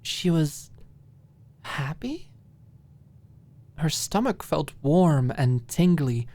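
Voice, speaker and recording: Female narrator for ASMR audiobook fiction: low register, unhurried pace, expressive, deliberate phrasing with dramatic pauses. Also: no echo. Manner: dramatic, deliberate pauses, drops at times into breathy whisper.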